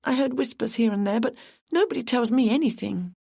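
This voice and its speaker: Woman putting on a high, tearful young woman, anxious, sniffly, dry close sound. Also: brisk, vocal fry, mellow, husky, no echo. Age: 20s. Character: tearful timid young woman, theatre runner.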